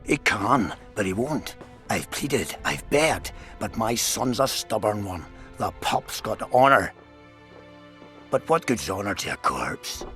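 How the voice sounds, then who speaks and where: A man's voice: fairly low pitch, big pitch swings, slow pace, fairly bright tone, exaggerated voice. A man playing a bitter, fatalistic middle-aged soldier and father in a fantasy video game. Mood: despairing. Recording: music behind the voice.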